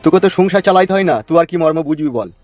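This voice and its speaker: Man playing a blustering, fast, high-voiced cartoon politician. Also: lightly acted. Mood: angry, scolding. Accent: rural West Bengal. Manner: blustering, stammers when agitated. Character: bullying, foul-mouthed, hot-tempered politician father. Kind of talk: comic cartoon dub. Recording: dull lo-fi sound over music.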